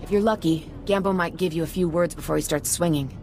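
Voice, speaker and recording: A woman's voice: on the low side, fast talker, darkish tone, soft background music, lightly acted. A woman playing a wry, battered police officer in a video game, sounding weary and in pain. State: pained, woozy.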